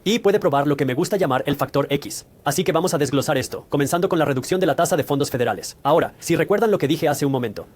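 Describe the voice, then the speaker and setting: Man, slightly high voice, rapid pace, didactic explainer host, scripted narration, step-by-step delivery. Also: a little mellow. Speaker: host of a personal finance explainer. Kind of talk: scripted educational narration, addressing the viewer directly.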